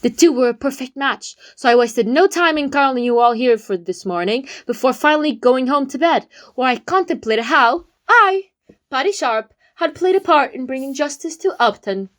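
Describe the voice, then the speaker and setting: High-voiced, showy young detective, played by a woman. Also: expressive, moderate pace, fairly bright tone. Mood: proud, explanatory. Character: earnest, showy young amateur detective revealing the killer. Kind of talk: amateur audio drama, detective monologue.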